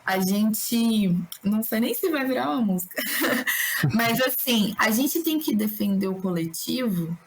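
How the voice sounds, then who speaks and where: A woman with a Brasília Brazilian accent, slightly hoarse from a cold, chatting reflectively and discursively as a singer-songwriter guest in an interview. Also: on the high side, lively intonation, a little slow, poor audio. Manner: talkative, discursive, philosophical. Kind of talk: casual reflective interview chat.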